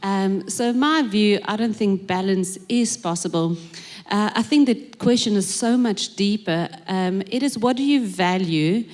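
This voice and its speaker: A woman's voice: medium pitch, unhurried, darkish tone. A woman who is a church minister, speaking on a panel in a thoughtful, hesitant way with many ums. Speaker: executive minister. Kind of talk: panel conversation. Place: church stage at a live service.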